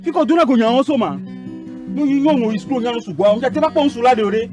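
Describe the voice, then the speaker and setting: A man's voice: very high voice, quick pace, over music. A man playing an agitated, scolding father in a film drama, with a West African accent and an insistent, exclamatory delivery.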